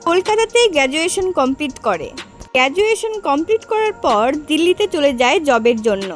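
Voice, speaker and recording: A woman narrates a scripted video as its host. Her voice sits very high and moves with animated intonation as she addresses viewers directly. Music plays faintly behind her. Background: background music.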